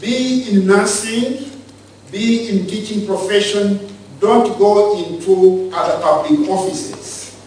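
A man from Uganda giving a measured, lecture-style talk on the church's role in society, pausing and restarting his phrases. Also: high voice, fairly even intonation, darkish tone, slight background noise. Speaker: speaker on church and state. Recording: echoey room from far off.

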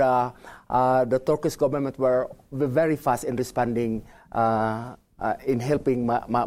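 A man speaking as an invited speaker, politely and haltingly answering his audience. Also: mid-range voice, mellow. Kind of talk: public talk and audience replies.

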